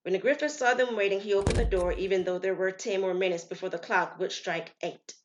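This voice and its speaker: A woman reading a dramatic scripted story as narrator, solemn and deliberate. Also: medium pitch, lo-fi sound, noisy background. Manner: solemn, deliberate, acts out dialogue. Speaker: storyteller and writer-performer.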